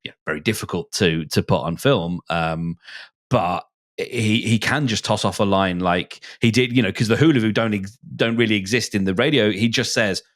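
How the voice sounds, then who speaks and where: A man's voice: lowish voice, normal speed, fairly bright tone. A man chatting casually on a podcast, feeling his way thoughtfully through his phrases. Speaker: podcast speaker. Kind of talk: casual discussion.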